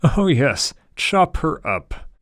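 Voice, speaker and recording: A man's voice: fairly high pitch, very animated, slow pace, fairly bright tone, no room sound, studio quality, voice pushed up, full character voice. A man voicing a snobbish, dismissive businessman with a plummy, condescending drawl. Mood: airily dismissive. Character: snobbish, dismissive British businessman, middle-aged.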